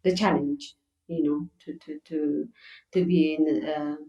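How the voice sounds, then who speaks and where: A woman's voice: deep voice, steady tone, measured pace, roomy sound, highs cut off. A middle-aged woman from Ethiopia who runs a circus, answering interview questions earnestly and repeating words for emphasis. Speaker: circus founder and guest.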